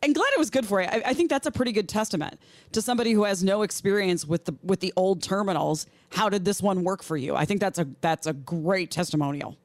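A woman's voice: mid-range voice, fast talker, dry recording. A woman with an American accent hosting a radio talk show in a chatty style.

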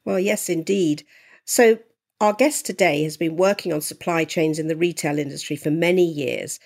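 A woman co-hosting an interview podcast, introducing the guest and asking questions in a measured, articulate way. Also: sits low, creaky, a little mellow. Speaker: podcast co-host.

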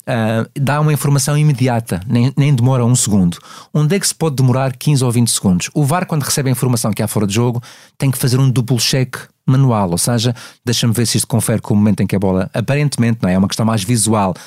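A man with a European Portuguese accent explains football decisions on a podcast. He talks fast in a medium-pitched voice, teaching point by point in a conversational way. The sound is dry, with no room around it. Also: darkish tone, no room sound. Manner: didactic, poses and answers own questions. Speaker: refereeing analyst on a podcast.

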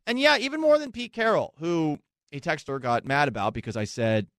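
A man's voice: medium pitch, fairly bright tone, no echo, studio quality. A young man hosting sports talk radio in a chatty, self-mocking way.

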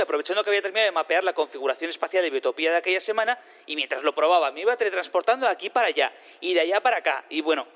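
An actor in an audio drama playing an eager space physicist on the witness stand, rambling, hesitant and repeating himself. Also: male voice, high-pitched, natural voice. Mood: rambling, apologetic. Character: rambling, eager male space physicist who teleports things. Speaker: witness in a courtroom. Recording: dull lo-fi sound in long reverb.

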